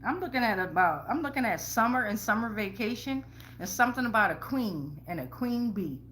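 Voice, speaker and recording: A woman's voice: a little high, expressive, a little fast, fairly bright tone, slight background noise. A woman hosting a casual spiritual tarot reading, blunt and slangy, swearing freely and repeating phrases for emphasis. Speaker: tarot reader, show host.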